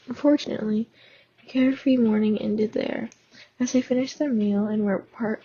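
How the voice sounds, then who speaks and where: A woman with a sore, hoarse throat reading fanfiction aloud in a casual way, stumbling and restarting now and then. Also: on the high side, dark tone, dull audio, slight background noise. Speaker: fan audiobook reader.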